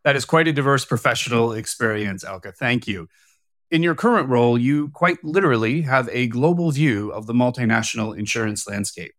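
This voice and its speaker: A man hosting a podcast, reading his introductions and questions in a formal, measured way. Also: mid-range voice, lively intonation, a bit bright, dry recording, studio quality. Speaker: podcast host. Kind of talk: scripted interview questions.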